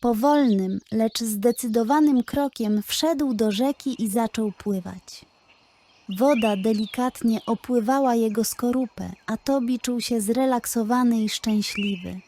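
A woman's voice: fairly high pitch, unhurried, mellow, slight background noise. A woman narrating a scripted bedtime story for children.

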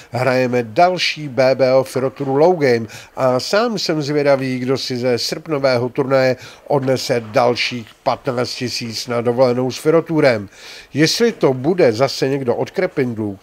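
An older man hosting a bowling tournament, chatting and teasing as he reports and interviews players with a handheld mic in a large bowling alley. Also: mid-range voice. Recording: faint hall noise.

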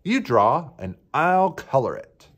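A living paintbrush from a picture book, voiced by a man. She is cheerful, helpful and excitable, with a raised, lively pitch. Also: slow, full character voice. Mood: excited.

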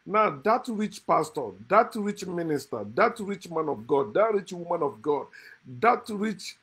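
Husky middle-aged guy preaching into his phone indoors, keeps repeating lines for emphasis. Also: sits high, expressive, unhurried, a bit bright. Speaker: host. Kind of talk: lecture to camera. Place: indoor room, seated.

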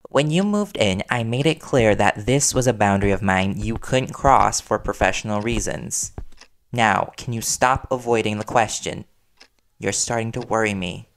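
A man playing a worried reporter boyfriend in an intimate audio roleplay, earnest and halting as he gently confronts his partner. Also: lowish voice, close to an everyday voice. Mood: concerned, disappointed.

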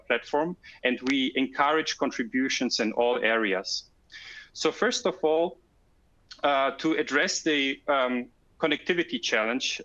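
A man giving a technical slide presentation as a product manager, halting and hesitant with frequent ums. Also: medium pitch, a bit bright, highs cut off.

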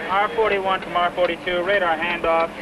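A man's voice: high voice, level tone, normal speed. A man working as an air traffic controller, giving procedural radio instructions in set phrases. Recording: noisy old film, control room radio.

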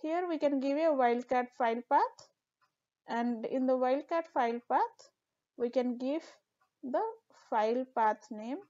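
A woman narrates a screen-recorded software tutorial, explaining each step with occasional fillers. Her voice sits a little high and mellow. The recording sounds dull and lo-fi. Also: unhurried. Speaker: tutorial instructor.

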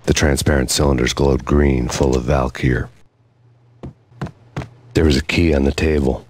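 A man playing a brooding, world-weary American cop who narrates in a deadpan, hardboiled noir style. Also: a bass voice, a little slow, a little hiss or hum. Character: brooding, world-weary male New York cop gone rogue. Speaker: narrator protagonist.

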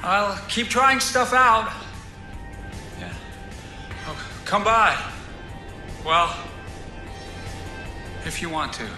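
An actor playing an eccentric, giddy male tinkerer in a video game, with a halting, theatrical manner. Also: high voice, very animated, pushed and bright, full character voice. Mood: awkward. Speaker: side character in a zombie game. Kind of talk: cinematic game voice acting. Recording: game music underneath.

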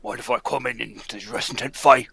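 Guy doing shady nervous clown, gravelly low voice, old radio play, real dry sound. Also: fairly even intonation, a little fast, bright, forceful tone, dry recording, heavily acted. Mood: furtive. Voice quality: raspy, gravelly. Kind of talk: 1940s radio drama script reading.

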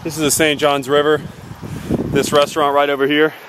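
A man's voice: on the high side, completely flat delivery, fairly quick. A young man in his twenties talking casually to a handheld camera outdoors, easygoing and rambling with plenty of fillers. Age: 20s. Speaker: fitness vlogger. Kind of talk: casual vlog talk to camera. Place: outdoors by a river and on a lawn. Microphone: handheld camera at arm's length. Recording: outdoor noise behind the voice.

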